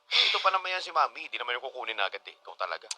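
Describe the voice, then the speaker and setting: A man with a Filipino accent playing a playful, teasing and excitable grown son in a radio drama. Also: mid-range voice, very fast. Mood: coaxing, playful. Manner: animated, teasing.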